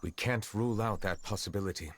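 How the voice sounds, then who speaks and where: An actor playing a grave, composed high official in a video game, speaking in a formal, measured and serious manner. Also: male voice, no room sound, lightly acted. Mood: calm, concerned.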